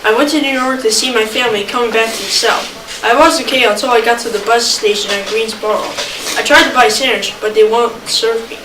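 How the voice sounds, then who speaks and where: A woman's voice: a little high, fairly even intonation, brisk, close to an everyday voice. A woman reading the part of a troubled, determined college boy in an amateur stage reading. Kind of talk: amateur reader's theater acting. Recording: echoey, distant mic, clear noise.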